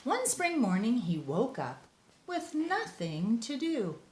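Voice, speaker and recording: Older woman reading expressively to children, echoey. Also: fairly high pitch. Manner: expressive, drawn-out words for young listeners. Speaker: storyteller grandmother reading to kids. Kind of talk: children's read-aloud with chatty asides. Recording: echoey room.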